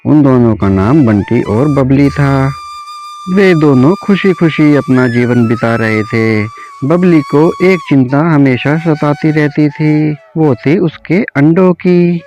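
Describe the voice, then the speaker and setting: A man narrating a scripted animal story for children as a storyteller. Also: mid-range voice. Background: soft background music.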